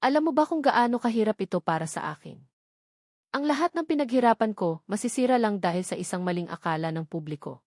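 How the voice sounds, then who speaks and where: A woman's voice: mid-range voice, lively intonation, quick pace, a little mellow, no echo, studio quality. A woman voicing a proud, bitter young policewoman in an audio love drama, indignant and confrontational. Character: proud, embittered young policewoman in a forced marriage.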